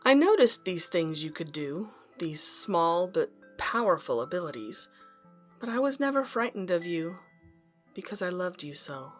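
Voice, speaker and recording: A woman's voice: lowish voice, very animated. A woman playing a wistful professor, narrating tenderly and intimately to a loved one in an audio drama. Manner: measured, intimate, reflective. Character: tender, wistful female professor narrating to her lost love. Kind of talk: dramatic first-person narration. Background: music under the voice.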